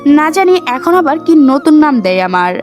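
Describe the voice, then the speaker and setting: A woman tells a dramatized first-person story in a high voice that swings freely up and down. Soft music runs behind her narration. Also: very animated, normal speed.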